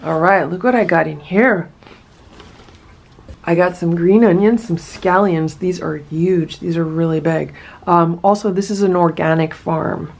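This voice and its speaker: A woman's voice: fairly low pitch, a little slow, creaky, a little mellow. A woman in casual vlog talk, chatty and enthusiastic as she unboxes a farm box at home. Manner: enthusiastic, chatty, many asides. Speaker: vlogger unboxing a produce box.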